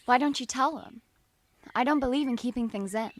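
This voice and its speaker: A woman playing an outspoken, warm-hearted young American wartime worker in an audio drama, chatty and emphatic. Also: a little high, brisk, close to an everyday voice. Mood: warm, encouraging.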